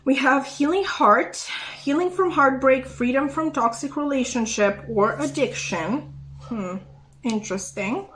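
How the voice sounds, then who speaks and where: A young woman with a slightly high voice gives a chatty tarot reading straight to her viewers. She rambles, trips over her words and fills the gaps with ums and little giggles, all in an easy, casual tone. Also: measured pace, a bit bright, poor audio. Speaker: tarot reader.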